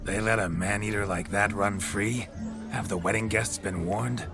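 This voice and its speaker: An actor playing a monster hunter possessed by a pompous rakish ghost in a video game, speaking in a florid, courtly, teasing manner. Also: male voice, fairly low pitch, steady tone, normal speed, lightly acted. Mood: gleeful, teasing. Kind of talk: video game character acting. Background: music.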